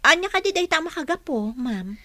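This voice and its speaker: Bright, high-pitched plucky young clerk. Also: female voice, big pitch swings, brisk, no echo, full character voice. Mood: defensive, puzzled. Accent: Ilocano. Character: young female new clerk, plucky and defensive. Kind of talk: radio drama acting.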